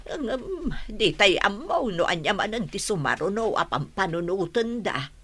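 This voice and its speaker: A woman with an Ilocano accent and a husky voice playing a concerned village woman in a radio drama. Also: medium pitch, sing-song, a little slow, a bit bright, full character voice. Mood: concerned, cautious. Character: concerned, cautious village woman rallying her townmates.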